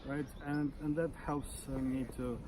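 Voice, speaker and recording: A man's voice: fairly high pitch, level tone, moderate pace. A man in his forties with a Russian accent, speaking casually as an interview guest and stuttering with blocks and repeated sounds. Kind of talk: casual conversation. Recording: noisy outdoor recording with birdsong.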